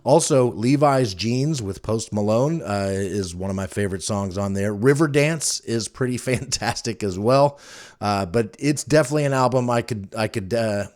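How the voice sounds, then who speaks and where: Male podcast host, middle-aged, low voice, loose rambling conversational delivery. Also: normal speed, darkish tone. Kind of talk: casual solo recommendations chat.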